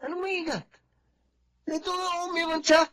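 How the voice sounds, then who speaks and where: A man's voice: extremely high pitch, level tone, unhurried, pushed and bright, heavily acted. A man playing a spoiled, bullying rich son. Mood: accusing. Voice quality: accusing, domineering. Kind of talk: radio drama acting.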